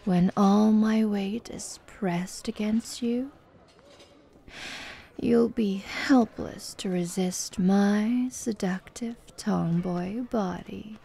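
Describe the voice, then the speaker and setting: Actress for flirty, self-assured tomboy MMA trainer, ASMR roleplay. Lowish-energy breathy delivery, unhurried, gravelly fry, playful seduction. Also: female voice, steady tone, mellow, exaggerated voice. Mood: teasing, seductive. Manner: slow, teasing, giggly, encouraging. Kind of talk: ASMR roleplay, personal address to listener.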